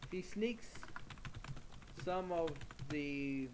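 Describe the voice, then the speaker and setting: A man talking casually to himself as he writes a script, in a halting, muttering way. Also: on the high side, lively intonation, noisy background. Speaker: amateur scriptwriter working on camera or mic. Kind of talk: casual thinking aloud while writing a script.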